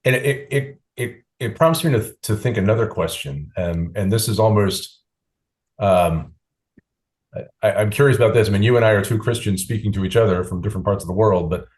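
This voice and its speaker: A man's voice: lowish voice, brisk, a little mellow. A male podcast host asking questions in a hesitant, searching way, with restarts and "um"s. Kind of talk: interview questions.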